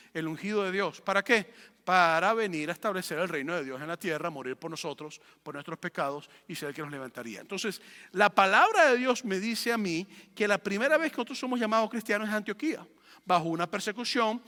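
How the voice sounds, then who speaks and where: A male pastor with a high-pitched, bright and forceful voice delivers a teaching sermon. He hammers points home by repeating phrases and posing rhetorical questions. His voice rings with long reverb in a large room. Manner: emphatic, repeats phrases for effect. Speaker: pastor preaching.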